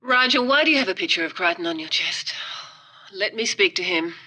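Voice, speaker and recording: A woman's voice: lowish voice, expressive, fairly quick, sharp tone, breathy edge, heavily acted. Stern, piercing alien woman. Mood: incredulous, demanding.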